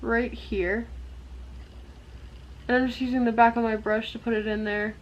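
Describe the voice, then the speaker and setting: A young woman giving a chatty, rambling makeup tutorial, her voice a little hoarse from a cold. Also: a little high, little pitch movement, moderate pace, highs cut off, slight background noise. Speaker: makeup vlogger.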